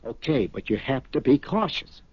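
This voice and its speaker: An actor playing a two-faced, uneasy business partner in a 1970s radio drama. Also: male voice, mid-range voice, sing-song, moderate pace, slightly rough, dry recording. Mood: uneasy, defensive. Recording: dull lo-fi old radio recording.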